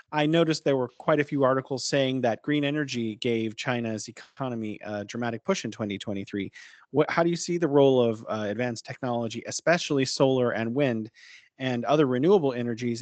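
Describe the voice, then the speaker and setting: A man's voice: medium pitch, no room sound. A man hosting a podcast interview, introducing his guest and asking questions in a friendly, hesitant way with many fillers. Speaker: podcast host.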